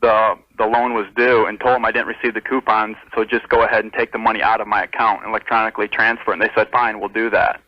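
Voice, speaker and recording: A man's voice: fairly low pitch, level tone, quick pace, hardly any pauses, no room sound. A man from Texas calling a radio show and chatting casually over the phone. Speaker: caller to a radio advice show. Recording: dull phone line on radio broadcast.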